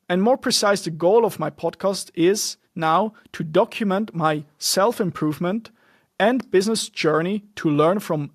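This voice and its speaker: A man's voice: high-pitched, gravelly, no room sound. Earnest man, dry close sound. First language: German. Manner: earnest, loosely structured, frequent restarts and fillers. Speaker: podcast host. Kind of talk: solo podcast monologue.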